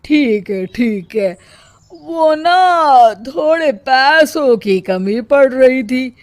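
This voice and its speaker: Woman doing polite sly dad in a cartoon, coaxing, slow, dry recording. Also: sits high, lively intonation, dry recording, heavily acted. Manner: deferential, coaxing. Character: polite, wheedling middle-aged father of the groom.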